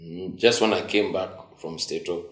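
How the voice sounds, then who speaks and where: A Kenyan man in his forties, a musician guest telling stories in a relaxed way, switching between English and Swahili slang. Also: deep voice, normal speed. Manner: relaxed storytelling, code-switching. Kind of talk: casual interview in Sheng and English. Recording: roomy echo.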